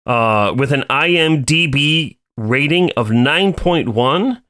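A man hosting a podcast in a casual chat, leading the talk with many pauses, fillers and restarts. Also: fairly high pitch, lively intonation, a bit bright. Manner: hesitant, many fillers and restarts, leads the show. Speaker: podcast host.

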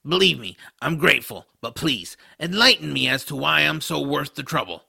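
A man voicing a bitter, sarcastic male troll in an audio drama, with a harsh gravelly voice and a grumbling manner. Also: fairly high pitch, lively intonation, moderate pace, sharp tone, exaggerated voice. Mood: wary. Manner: sardonic, grumbling.

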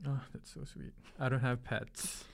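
A man from the Philippines with a light Filipino accent, a relaxed podcast co-host whose phrases often trail off quietly. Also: mid-range voice, unhurried, vocal fry. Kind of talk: casual chat.

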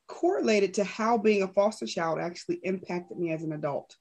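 A woman's voice: medium pitch, darkish tone. Woman guest from New Jersey, earnest, reflective storyteller. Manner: earnest, thoughtful, sometimes halting. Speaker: guest speaker. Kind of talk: conversational storytelling.

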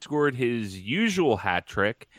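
A man co-hosting a sports podcast, telling jokes in a wry, deadpan way. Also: medium pitch, takes it slowly, no room sound, studio quality. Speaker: podcast co-host. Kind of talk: casual comedic sports chat.

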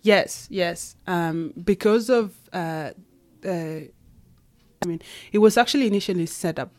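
Soft-spoken woman, halting speech. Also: lowish voice. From Kenya. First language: Nuer. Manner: hesitant, many fillers and restarts. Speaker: guest. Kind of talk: interview conversation.

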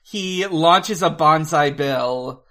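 A podcast co-host chatting casually about a film, halting and hesitant, with dry humour. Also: mid-range voice, sharp tone.

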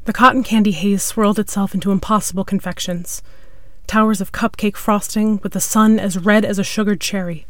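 A woman's voice: mid-range voice, steady tone, fairly quick, fairly bright tone, no room sound. A woman reading a piece of scripted literary fiction as its narrator. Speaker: story narrator.

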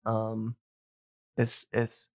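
A man's voice: fairly low pitch, monotone, extremely slow, a little mellow, dry recording. A man guesting on a podcast, explaining things in an informal, geeky way, often repeating words as he goes. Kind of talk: casual explanatory chat.

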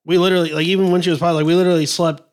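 Man, slightly high voice, hesitant storyteller on a podcast. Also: little pitch movement, racing. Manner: rambling, hesitant, restarts sentences often. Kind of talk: casual podcast chat.

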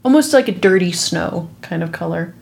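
A woman's voice: lowish voice, lively intonation, moderate pace, creaky, darkish tone. A woman chatting casually as a player in a tabletop role-playing game.

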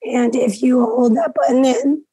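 A hoarse woman teaching a class over a video call, explaining each step aloud and often clearing her throat. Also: high voice, measured pace, dark tone, no room sound. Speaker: instructor.

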